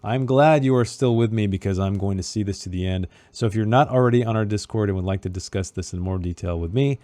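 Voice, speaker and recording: Mellow, low-voiced man recapping a book. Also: quick pace, no echo. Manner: informal, chatty asides. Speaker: book club host. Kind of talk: casual chapter recap with read-aloud passages.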